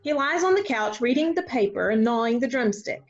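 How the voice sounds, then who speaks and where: A woman's voice: fairly high pitch, expressive, fairly quick. A woman reading stage directions as scripted narration for a play. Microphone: video call. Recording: dull video-call audio.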